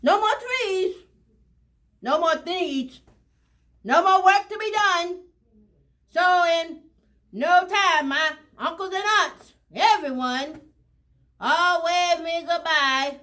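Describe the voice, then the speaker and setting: A woman's voice: very high-pitched, slow pace, pauses often, bright, forceful tone. A woman reading a picture book aloud to children, expressively and in short dramatic phrases, often speaking to them directly.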